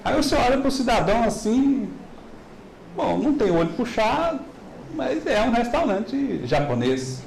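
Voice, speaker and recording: A middle-aged man with a Minas Gerais accent giving a lively business talk and asking the audience rhetorical questions. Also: extremely high pitch, a little slow, gravelly, roomy sound, poor audio. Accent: Minas Gerais Brazilian Portuguese. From Minas Gerais, Brazil. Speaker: business lecturer. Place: large hall.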